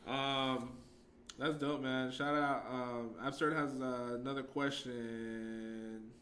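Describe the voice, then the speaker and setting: A man's voice: mid-range voice, little pitch movement, slow, a bit bright, slight background noise. A young man hosting a casual podcast chat, easygoing and slangy, often ending lines with "bro.". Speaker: podcast host.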